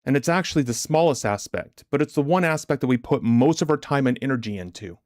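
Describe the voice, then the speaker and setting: A man hosting a livestream as a motivational trading coach, deliberate and emphatic, his chest a little congested from a recent illness. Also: mid-range voice, animated, a little mellow, no room sound, studio quality. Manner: deliberate, emphatic, repetitive rhetorical questions. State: chesty, getting over bronchitis, clearing throat.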